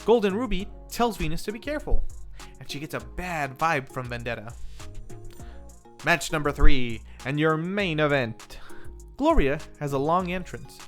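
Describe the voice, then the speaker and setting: A man's voice: on the high side, fairly bright tone. A man hosting a review video, reading scripted narration in a conversational way and addressing viewers directly. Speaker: host of a film review channel. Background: music under the voice.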